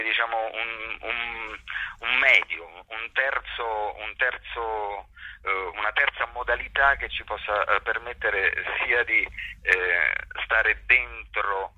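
A man giving explanatory interview answers in a halting, thoughtful way, searching for his phrasing as he goes. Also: on the low side, no echo, dull audio. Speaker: actor and workshop teacher being interviewed.

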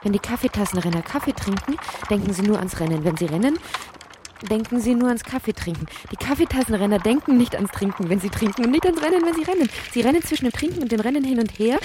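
A woman playing a whimsical narrator in a literary radio play, with a husky voice. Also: on the high side, expressive, fast talker, background noise, lightly acted. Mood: brisk, animated.

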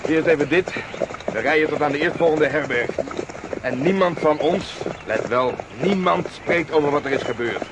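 An actor playing a level-headed, commanding leader of adventurers in a radio drama, firm and calming. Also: male voice, a little high, moderate pace, background noise, lightly acted. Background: horse hooves and outdoor ambience.

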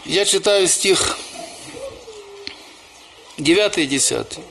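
A man's voice: high-pitched, lively intonation, slow pace, creaky, fairly bright tone, slight background noise. A man who is a church pastor, preaching a sermon and telling personal stories, often calling the listeners brothers and sisters.

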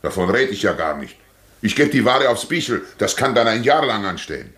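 An actor playing a shrewd, servile delicatessen shopkeeper with a grievance in a German radio play, speaking with oily, theatrical flourishes. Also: male voice, lowish voice, big pitch swings, a little fast, fairly bright tone. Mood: indignant. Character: obsequious, shrewd, aggrieved delicatessen shopkeeper, living alone. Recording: roomy, echoing radio play studio.

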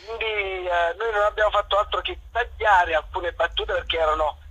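A man from Naples, an actor, talking on the phone in a thoughtful way and often pausing to search for words. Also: high-pitched, sharp tone, husky. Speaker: actor guest. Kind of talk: phone interview. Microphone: telephone. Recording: muffled phone line.

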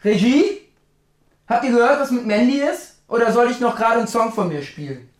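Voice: mid-range voice, sing-song, fairly quick, lightly acted. A performer playing a chatty, mock-serious host interviewing a guest in a radio drama. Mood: casual.

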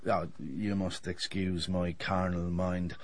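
An actor playing a flippant, sardonic dentist with a roguish streak in a radio drama. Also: male voice, sits low, little pitch movement, slow, a little mellow, no room sound. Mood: wry, teasing. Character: wry, roguish, hard-drinking male dentist.

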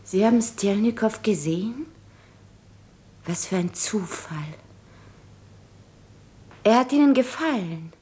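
A tender young woman with a mid-range voice. She speaks softly and with restraint, intimate yet self-reliant, in 1950s radio drama style. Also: mid-range voice, lively intonation, slow pace, pauses often. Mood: surprised, curious.

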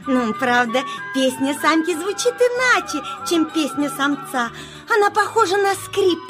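A woman's voice: extremely high pitch, expressive, unhurried, over music. A woman playing a friendly, knowing woodland creature in a children's audio play. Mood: helpful, friendly.

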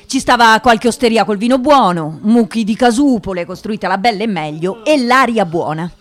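An actress playing a thoughtful journalist who narrates a radio drama in a measured storytelling manner. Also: female voice, medium pitch, animated, lightly acted. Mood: reflective.

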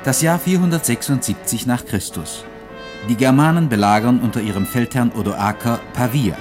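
A man giving measured, formal scripted narration for a radio play. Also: medium pitch, over music.

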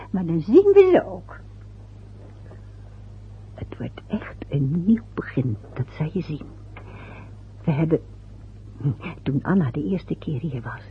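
A woman playing a soft-spoken, anxious mother. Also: on the low side. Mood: coaxing. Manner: soft, often whispering, pleading. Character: anxious middle-aged mother, soothing and hopeful. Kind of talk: radio drama acting. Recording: thin phone-like old radio recording with faint hiss.

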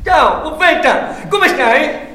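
An actor playing a clown who coos in sing-song baby talk to a puppet. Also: male voice, very high-pitched, animated, slow pace, background noise, pitched above the performer's own voice, full character voice. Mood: cooing. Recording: echoey live stage recording.

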